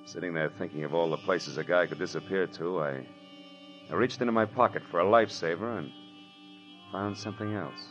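An actor playing a tough, wisecracking private detective in 1940s radio style, clipped and sardonic. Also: male voice, deep voice, a little fast, a bit bright. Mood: wry narration. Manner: clipped, hard-boiled, sardonic. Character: tough, wisecracking male private detective narrating his case. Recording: dull old radio recording with music.

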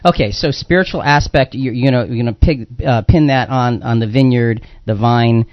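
A man's voice: medium pitch, moderate pace, dry recording. A man hosting a religious talk show in a conversational, explanatory way, repeating phrases for emphasis. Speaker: radio show host. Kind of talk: live religious talk radio discussion. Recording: dull lo-fi radio audio.

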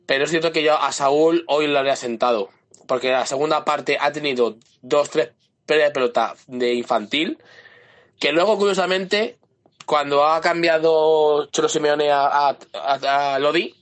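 A man's voice: a little high, steady tone, normal speed. A man with a sore throat, sending a rambling voice message to a fan podcast. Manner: rambling, loses his thread and restarts often. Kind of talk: casual voice message.

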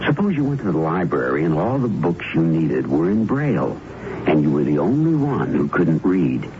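Deep-voiced earnest male radio announcer. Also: big pitch swings, moderate pace, creaky. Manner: earnest, rhetorical, direct. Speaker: public service announcer. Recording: muffled, noisy old radio broadcast.

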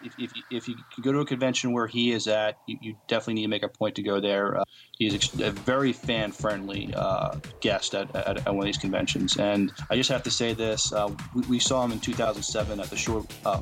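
Man, fairly low pitch, halting casual chat, podcast co-host. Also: steady tone, fairly bright tone, soft background music. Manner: hesitant, halting with repeated words.

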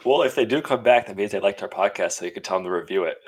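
Low-voiced man teasing on a podcast. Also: animated, darkish tone, breathy edge. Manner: teasing, quick questions. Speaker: podcast co-host. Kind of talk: casual chat.

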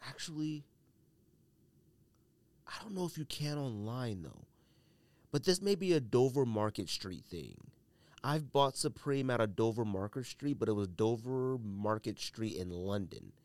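A man's voice: mid-range voice, expressive, unhurried, a little mellow, no echo. A man hosting a casual solo podcast, thinking aloud and often qualifying his own points as he goes. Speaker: sneaker podcast host.

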